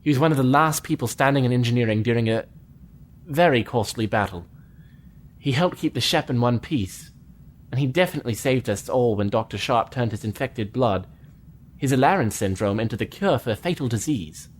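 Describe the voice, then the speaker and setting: A man playing a starship lieutenant, earnest, polite and measured. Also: mid-range voice, expressive, slight background noise. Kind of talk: fan audio drama acting.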